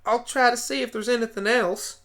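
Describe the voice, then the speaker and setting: A woman voicing an eager, excitable young farm pony with a folksy way of talking in a fan radio play. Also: a little high, fairly quick, pitched above the performer's own voice. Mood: earnest.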